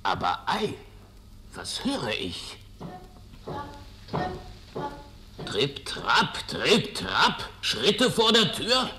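A man's voice: on the high side, huge pitch swings, exaggerated voice. An actor playing a sly, gluttonous wolf in a fairy-tale radio play, savoring his words theatrically. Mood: gloating, cosy. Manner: slow, savoring, theatrical delivery. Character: gluttonous, sly big bad wolf, gloating. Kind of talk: 1970s German fairy-tale record acting. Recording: noisy old vinyl record.

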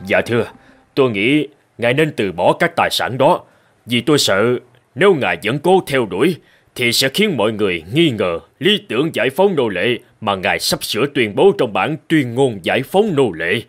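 A man's voice: mid-range voice, very animated, moderate pace. A man playing an anxious, deferential presidential aide in a cartoon, respectful and hesitant. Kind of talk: cartoon drama acting.

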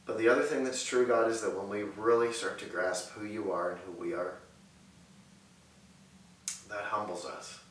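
A man in his thirties preaching and praying earnestly from a sofa in a living room, measured and repetitive in his phrasing. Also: on the low side, steady tone, distant mic. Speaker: pastor. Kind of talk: sermon and prayer. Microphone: far camera mic. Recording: echoey room audio with faint hiss.

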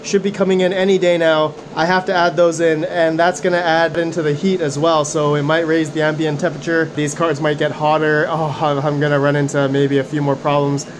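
A man's voice: a little high, level tone, fairly quick, hardly any pauses, noisy background. Upbeat man with a fading voice. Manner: upbeat, chatty, addresses viewers as guys. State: losing his voice. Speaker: mining channel host. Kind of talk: casual hands-on video commentary. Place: condo room with mining rigs.

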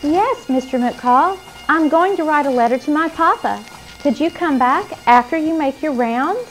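A woman playing a sweet, nurturing clown who hosts a children's show, with a gentle, encouraging manner. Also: high-pitched, expressive, moderate pace, faint music behind, poor audio. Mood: gentle, caring. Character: sweet, nurturing young female clown hostess.

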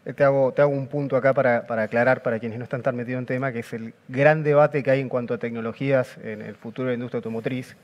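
A man's voice: mid-range voice, dull audio. A man, hosting a panel and explaining the topic, with some hesitant fillers. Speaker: interview host. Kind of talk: moderating a panel. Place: studio set.

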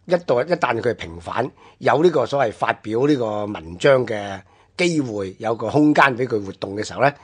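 A man's voice: medium pitch, expressive, moderate pace, breathy edge. A man with a Hong Kong Cantonese accent explaining things conversationally, as a commentator on a radio history programme.

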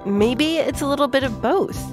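A woman's voice: sits high, normal speed. A woman podcast host explaining things playfully in scripted narration for a children's science show. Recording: music behind the voice.

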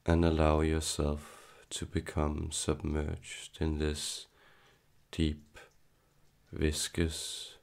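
A man leading a guided meditation in a solemn, hypnotic manner, halting between short soothing phrases. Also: extremely low pitch, monotone, gravelly, darkish tone.

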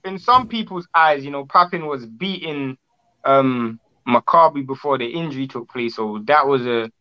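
A man's voice: mid-range voice, normal speed, smooth, dull audio. A man co-hosting a boxing interview over a video call, chatty and prone to restarts. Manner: chatty, talks in restarts and long lists. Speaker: co-host.

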